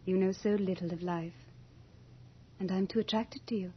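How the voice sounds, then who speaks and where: An actress playing a young, beautiful female Death in a radio horror drama, theatrical and deliberate, capricious and longing to be mortal. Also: mid-range voice, steady tone, a little hiss or hum, close to an everyday voice. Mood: cool, judging. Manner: theatrical, deliberate, breathy at moments. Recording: dull old radio drama with music.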